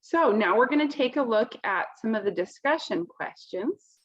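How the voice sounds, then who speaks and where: A woman reading a picture book aloud to children, expressively, pausing to explain it in simple words. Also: fairly high pitch, expressive, lo-fi sound.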